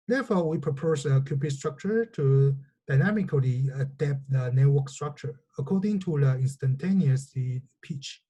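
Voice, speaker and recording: A man whose first language is Mandarin, giving a measured, explanatory technical lecture. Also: fairly high pitch, warm tone, husky.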